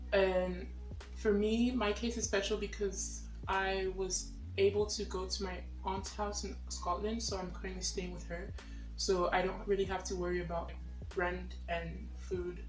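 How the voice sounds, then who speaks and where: A woman from Cameroon, a recent graduate, speaking about her situation in a matter-of-fact way. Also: mid-range voice. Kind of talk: personal testimony. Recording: roomy, distant mic.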